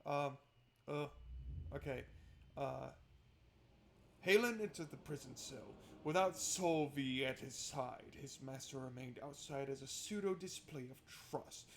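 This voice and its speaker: A man's voice: medium pitch, unhurried, gravelly, slight background noise. A man hosting a visual novel playthrough, reading narration aloud and adding casual, wry asides. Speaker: gaming video host.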